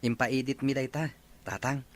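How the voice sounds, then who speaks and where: A man's voice: mid-range voice, steady tone, normal speed, natural voice. A man playing a dutiful young Ilocano husband and father in a family audio drama. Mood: respectful.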